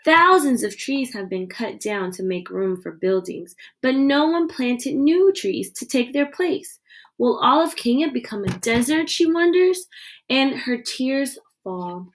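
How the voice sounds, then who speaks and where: A woman reading a children's picture book aloud with expressive storytelling delivery. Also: high-pitched, a little slow. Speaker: read-aloud reader.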